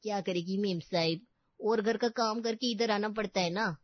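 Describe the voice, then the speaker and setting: A woman's voice: mid-range voice, moderate pace, dry recording, lo-fi sound, close to an everyday voice. A woman voicing a sassy, boastful housemaid in a story, with cheeky colloquial street talk. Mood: breezy excuse-making. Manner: cheeky, colloquial street-style Hindi with English words.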